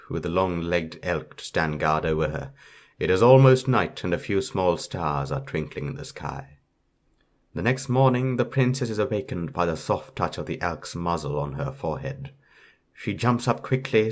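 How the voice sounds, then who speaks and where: Male storyteller, low pitch, scripted fairytale narration.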